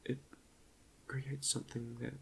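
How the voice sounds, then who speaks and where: A man quietly leading an ASMR guided meditation in a soft half-whisper, gentle and a little hesitant, close to the microphone with chalk clicks behind him. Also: mid-range voice, level tone, a little slow, a little hiss or hum, poor audio. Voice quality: soft, breathy half-whisper with creaky fry.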